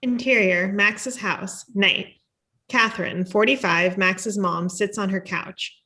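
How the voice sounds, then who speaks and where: A woman's voice: mid-range voice, takes it slowly, sharp tone. A woman reading the stage directions of a screenplay at a table read, as scripted narration.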